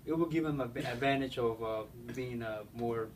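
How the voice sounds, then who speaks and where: A man's voice: mid-range voice, normal speed. Hesitant young man, Spanish accent, distant mic. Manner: hesitant, many fillers and restarts. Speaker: interviewee. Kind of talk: casual interview answers. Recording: distant mic, faint background noise.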